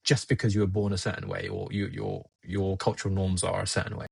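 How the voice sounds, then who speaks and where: A young man whose first language is Gujarati, talking through his thoughts in a relaxed podcast chat and often laughing at himself. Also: deep voice, fast, gravelly, husky, dry recording, studio quality. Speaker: podcast guest. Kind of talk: casual conversation.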